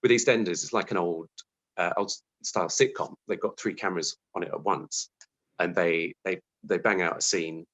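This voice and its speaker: A man's voice: sits low, moderate pace, a bit bright. A man giving a casual interview as a guest, thoughtful and hesitant, often restarting his sentences. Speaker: interview guest, television scriptwriter.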